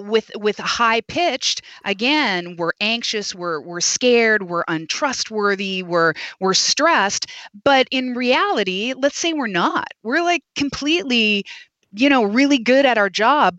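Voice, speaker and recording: Woman voice coach talking slow and careful, dry close sound. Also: medium pitch, no room sound. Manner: demonstrative, animated explaining. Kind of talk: podcast interview guest.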